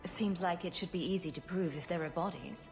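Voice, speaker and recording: A woman's voice: on the low side, fairly quick, vocal fry, a little mellow, faint music behind. A woman voicing a wisecracking female rogue in a video game, flippant and drily witty. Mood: wry, curious. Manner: flippant, dry wit. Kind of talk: video game dialogue acting.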